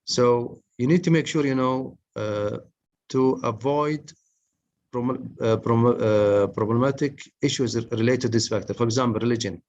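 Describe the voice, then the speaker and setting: Halting man lecturing over muffled audio. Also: mid-range voice, a little mellow. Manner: halting, many fillers and restarts, non-native phrasing. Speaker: webinar speaker on research methods. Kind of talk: lecture to postgraduate students.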